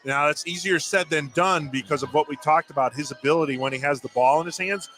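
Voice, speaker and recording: Male color commentator for live basketball. Voice a bit high, conversational and explanatory, reacts to plays, faint music behind. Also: a little fast, a bit bright. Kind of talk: live sports analysis, conversational.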